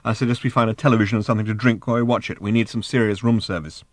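Impatient carefree man, as a male reader plays him, brisk, bossy, flippant, dry audiobook recording. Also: lowish voice, steady tone, no echo, close to an everyday voice. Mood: brisk, breezy. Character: brash, impatient, carefree male alien hitchhiker. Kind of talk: audiobook character acting.

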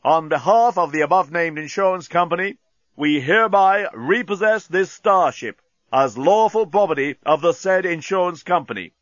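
A man voicing a cold, legalistic alien mercenary spokesman, harsh and booming, making a formal announcement in an audiobook. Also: animated, normal speed, fairly bright tone, dry recording, dull audio. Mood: officious.

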